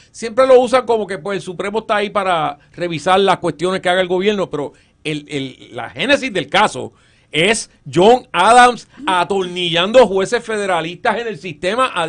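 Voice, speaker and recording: A man's voice: high-pitched, a bit bright. A man with a Puerto Rican accent debating politics as a panelist, emphatic and colloquial, tossing out rhetorical questions. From raised in Michigan.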